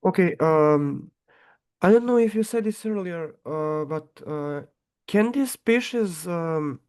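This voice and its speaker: Serbian-accented man, non-native English, smooth higher voice, halting questions. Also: expressive, a little mellow. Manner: halting, searching for words. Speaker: audience member asking questions.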